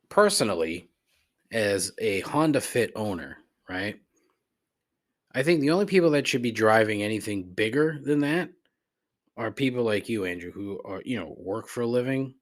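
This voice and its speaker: A man hosting a podcast, rambling casually and profanely as he responds to listeners with conversational gripes. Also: fairly low pitch. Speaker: podcast host. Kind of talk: casual rambling solo commentary, answering voicemails.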